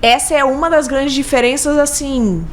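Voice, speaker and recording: A Brazilian woman with a fairly high voice talks in a casual interview style. She is emphatic, doubling back on key words to drive them home. A little noise sits behind her. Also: steady tone, moderate pace. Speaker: podcast guest, business consultant.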